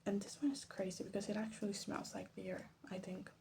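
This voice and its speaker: Soft half-whispering woman with creaky voice. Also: mid-range voice, flat delivery, fairly quick. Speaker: vlog host. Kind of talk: ASMR casual commentary. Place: her bedroom. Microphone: phone. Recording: faint background noise, phone microphone.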